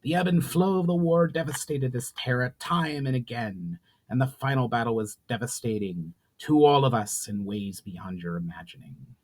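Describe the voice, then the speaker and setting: A man voicing an ancient, weary sorceress in a storybook reading, speaking slowly and solemnly with deep sorrow. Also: natural voice. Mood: grave, pained. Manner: slow, pained, solemn speech.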